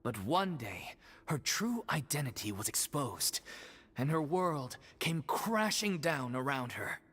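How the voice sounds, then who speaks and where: A man's voice: fairly high pitch, takes it slowly, full character voice. An actor voicing a thoughtful young man from a theatre troupe, telling a story expressively, over game music. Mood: storytelling. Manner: storytelling, expressive narration of a plot.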